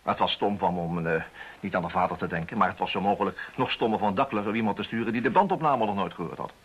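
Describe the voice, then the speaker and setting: An actor playing a brisk, shrewd, insistent detective with a husky voice in a radio play. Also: male voice, lowish voice, dull audio, a little hiss or hum, close to an everyday voice. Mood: explaining.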